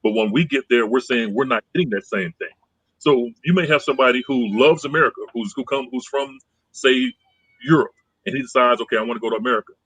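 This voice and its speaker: A man co-hosting a casual podcast chat, calm and conciliatory, rambling and repeating phrases as he explains. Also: medium pitch, quick pace, husky, dry recording, low-quality recording.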